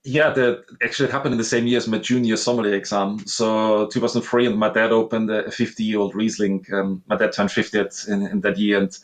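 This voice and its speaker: A man in his thirties with an Austrian accent speaks as an interview guest in a somewhat low voice. He tells his story in an earnest, reflective way. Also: level tone, a little fast, few pauses. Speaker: guest, master sommelier. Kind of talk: conversational interview.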